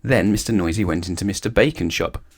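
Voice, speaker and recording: A man narrating a children's storybook aloud in an expressive read-aloud style. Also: low voice, quick pace, gravelly.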